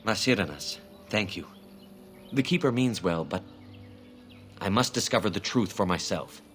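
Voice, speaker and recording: An actor playing a grieving elven hunter in a fantasy game, speaking in a formal, measured, earnest way. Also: male voice, fairly low pitch, lively intonation, fairly bright tone, a little hiss or hum.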